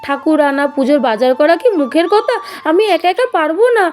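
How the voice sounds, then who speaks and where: Harried village mother, acted by a woman, high and animated, exasperated scolding for a doll cartoon. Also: a little fast. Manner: scolding, complaining. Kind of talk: children's doll-play cartoon story.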